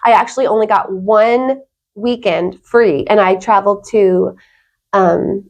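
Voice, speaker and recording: A woman's voice: medium pitch, steady tone, a little slow, darkish tone. A woman guest on a talk show, telling her own story in an earnest, emphatic, conversational way. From Wales.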